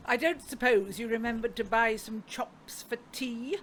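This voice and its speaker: An actress playing a domineering, stout middle-aged wife in a comic radio play, nagging in a loud, strident, scolding voice. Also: female voice, on the high side, unhurried, a little hiss or hum. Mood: sharp, suspicious. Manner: bossy, scolding.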